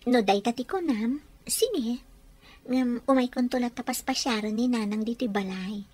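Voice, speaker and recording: A woman playing a caring, practical young bride-to-be in a radio drama, solicitous and gently insistent. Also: on the high side, normal speed, close to an everyday voice. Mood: affectionate.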